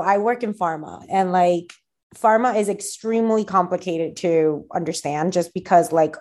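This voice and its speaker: A woman's voice: on the low side, warm tone. A woman co-hosting a podcast who talks in an emphatic, conversational way. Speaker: podcast co-host. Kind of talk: casual chat.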